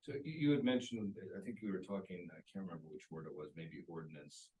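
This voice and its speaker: Low male voice, hesitant questioner, lots of fillers, distant from the mic. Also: expressive, darkish tone. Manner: hesitant, halting, many fillers. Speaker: class participant. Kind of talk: asking questions from the audience. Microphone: off-mic, far from microphone.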